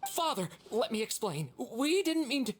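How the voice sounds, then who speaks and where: A woman's voice: a little high, sing-song, normal speed, slightly rough. A woman playing an anxious, deferential young member of a strict household in a video game, hesitant and pleading.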